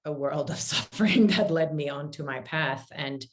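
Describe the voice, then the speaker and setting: Very deep-voiced woman in her forties. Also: normal speed. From born in Finland, grew up in Paris, France. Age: 40s. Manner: candid, conversational. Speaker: interview guest, healer. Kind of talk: casual, reflective personal storytelling.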